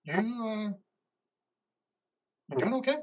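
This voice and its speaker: A man's voice: high-pitched, animated, slow pace, recorded from a distance, dull audio, exaggerated voice. A man playing a loyal, awkwardly sympathetic buddy in an amateur play reading, casual and hesitant. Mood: awkward, supportive. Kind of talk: amateur reader's theater over video.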